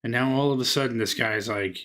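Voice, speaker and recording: Bright-voiced man, casual and mocking. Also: medium pitch, a little fast. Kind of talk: casual commentary.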